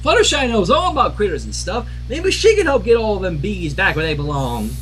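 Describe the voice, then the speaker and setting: Guy doing blunt little filly kid, high n super animated, eager, cartoon pony stuff. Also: fairly quick, a little hiss or hum. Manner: childlike, plain-spoken.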